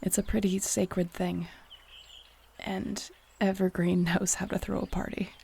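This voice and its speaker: A woman playing an earnest, tender young shapeshifter clan leader in an intimate audio roleplay, speaking softly and confidingly. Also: fairly low pitch, flat delivery, measured pace, creaky, a little hiss or hum. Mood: relaxed, fond. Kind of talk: intimate audio roleplay acting addressed to the listener.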